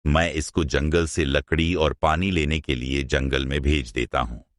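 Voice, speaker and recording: Man acting a very deep, cruel eldest brother. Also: steady tone, unhurried, no echo, studio quality. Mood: scheming, threatening. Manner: menacing, commanding. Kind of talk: cartoon moral story acting.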